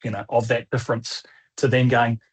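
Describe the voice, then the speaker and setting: A man's voice: mid-range voice, little pitch movement, normal speed, breathy edge. A man moderating a webinar panel over a video call, asking long, conversational questions.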